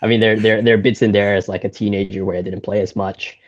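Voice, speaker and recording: A man's voice: on the low side, fast talker, no echo, muffled recording. A man in his thirties from Singapore with an American accent, chatting casually and rambling a little as an interview guest. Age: 30s. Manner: relaxed, rambling, frequent fillers and restarts. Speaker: guest, golf shop owner.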